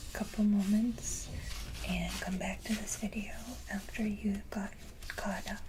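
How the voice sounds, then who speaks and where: A woman whispering softly in a casual ASMR style, talking to viewers with frequent hesitant pauses mid-sentence. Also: mid-range voice, background noise. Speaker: ASMR video creator.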